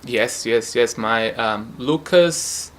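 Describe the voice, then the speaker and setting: A young man from Brazil with a Brazilian Portuguese accent, answering interview questions at home in a relaxed, hesitant way with many fillers. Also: mid-range voice, takes it slowly. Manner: relaxed, hesitant, frequent fillers and light laughs. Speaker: futsal player. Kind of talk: casual interview.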